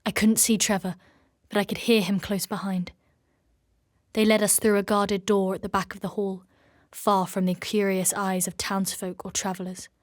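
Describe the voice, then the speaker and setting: An actress playing a fierce, guarded warrior woman in a dark fantasy audio drama, terse and intense. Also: medium pitch, close to an everyday voice.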